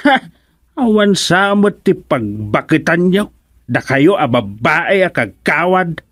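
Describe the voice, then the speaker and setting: An actor playing an earnest, easily offended villager with a halting, exclaiming manner in an Ilocano radio comedy drama. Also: medium pitch, very animated, fairly bright tone, dry recording, studio quality, exaggerated voice. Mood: offended, defensive.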